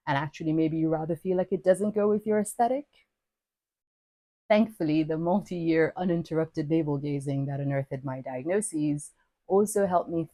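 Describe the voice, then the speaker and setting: Low-voiced woman reading a lyrical essay. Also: warm tone, no room sound. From Nigeria. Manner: lyrical, playful, wordy and self-mocking. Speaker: podcast host. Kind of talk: scripted personal essay narration.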